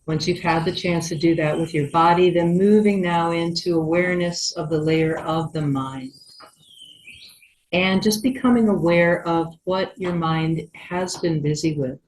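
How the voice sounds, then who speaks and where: A woman with a low-pitched voice guides a meditation as a yoga teacher. She speaks gently and takes her time, leaving long pauses between phrases. Faint noise and small clicks sit behind her voice. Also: fairly even intonation, rough recording. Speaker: yoga teacher leading a yoga nidra class.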